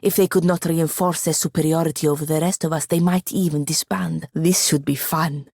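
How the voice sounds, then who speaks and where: A young sorceress's apprentice from a fantasy video game, speaks low and with a gravelly edge. She is ambitious and sharp-tongued, choosing her words with dry, haughty precision. The recording is close and very dry. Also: steady tone, a little fast, dry recording, exaggerated voice. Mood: earnest, wry. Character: sardonic, ambitious young female wizard apprentice. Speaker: video game companion character. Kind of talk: scripted fantasy game dialogue.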